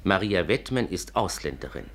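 An actor playing an anxious, courteous inventor in a 1950s German radio play, speaking formally and hesitantly in a husky, breathy voice. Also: male voice, sits low, big pitch swings, normal speed, a bit bright, full character voice. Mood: earnest, troubled. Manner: formal, hesitant, repeats a pet phrase.

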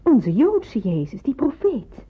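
A woman's voice: fairly high pitch, big pitch swings, slow pace, slightly rough, exaggerated voice. A woman acting an earnest, insistent young person in hiding in a wartime radio drama. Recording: muffled, band-limited old radio recording.

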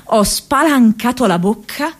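Young woman, highish voice, very animated intonation, restless, lovelorn, self-mocking, radio theatre monologue. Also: unhurried. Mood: quiet, reflective. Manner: theatrical, emotional, with repeated phrases. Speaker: actress in a radio play.

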